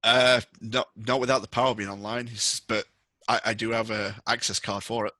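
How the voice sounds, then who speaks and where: A man's voice: lowish voice, level tone, normal speed, pushed and bright, no room sound. A man playing a reluctant, plain-spoken Marine survivor in a tabletop game, hesitant and informal, repeating himself. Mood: uneasy, defensive.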